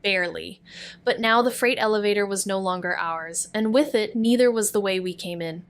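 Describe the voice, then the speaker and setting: A woman playing a moody, wry young noir narrator with a terse, deadpan delivery in an audio drama. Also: mid-range voice, moderate pace, clean tone, natural voice. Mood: brooding. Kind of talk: scripted noir narration and dialogue.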